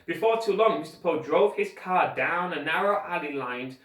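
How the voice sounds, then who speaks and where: A man reading a children's story aloud like a teacher, with expressive, deliberate scripted narration. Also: fairly high pitch. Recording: roomy echo, distant microphone.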